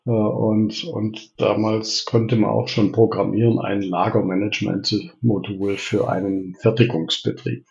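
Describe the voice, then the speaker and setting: A man in his sixties speaks with a Swabian German accent, his low voice carrying a gravelly edge. He answers interview questions in a relaxed way, pausing and restarting often. The recording sounds dull and lo-fi. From Reutlingen, southern Germany. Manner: relaxed, with frequent hesitations and restarts. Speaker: interview guest, interim executive.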